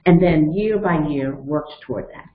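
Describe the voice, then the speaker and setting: A woman's voice: low voice, moderate pace, a little hiss or hum. A woman in her fifties leading a motivational webinar, explaining step by step and telling personal stories in an earnest way. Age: 50s. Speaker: webinar facilitator and coach. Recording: echoey, phone-like narrow sound.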